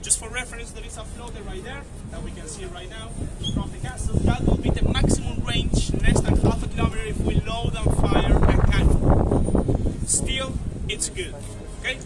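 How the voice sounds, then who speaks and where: A man's voice: very high-pitched, normal speed. A man with the flu giving a live guided demonstration outdoors, explaining step by step, often checking in with "okay?" and making wry asides. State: has the flu. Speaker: tour guide at a gun battery. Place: outdoors at a harbour battery. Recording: distant voice with loud outdoor noise.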